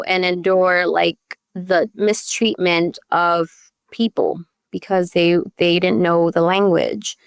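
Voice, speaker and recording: A woman with a smooth, slightly low voice, recorded dry. She is a guest from El Salvador, raised partly in Virginia, sharing personal history candidly. Her phrasing is conversational and dotted with little check-ins. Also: no room sound. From born in El Salvador, raised partly in Northern Virginia. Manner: candid, conversational, peppered with "you know" and "right?". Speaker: podcast guest, youth advocate.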